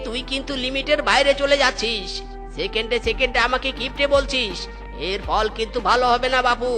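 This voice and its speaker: A man's voice: very high voice, a little fast, bright, forceful tone, soft background music. A man playing a miserly, hot-tempered village uncle in a cartoon, scolding and complaining with exclamations. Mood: irritated. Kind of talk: exaggerated Bengali cartoon comedy dialogue.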